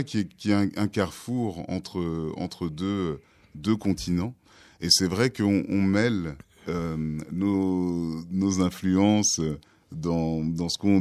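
Very deep-voiced man, hesitant guest. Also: darkish tone, no echo. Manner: hesitant, thoughtful, with many fillers. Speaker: musician guest. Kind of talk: casual interview talk.

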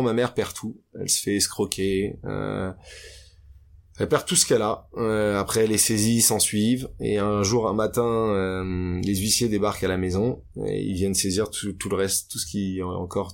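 A man's voice: fairly low pitch, flat delivery, normal speed, vocal fry. A young man from Paris, telling his life story candidly in a casual interview, with many fillers and restarts. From France. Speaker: guest.

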